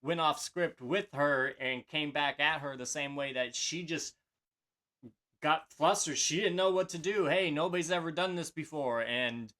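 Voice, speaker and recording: Bright-voiced man rambling about wrestling. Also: on the high side, unhurried. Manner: rambling, filler-heavy, hesitant. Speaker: podcast co-host. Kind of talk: casual wrestling discussion.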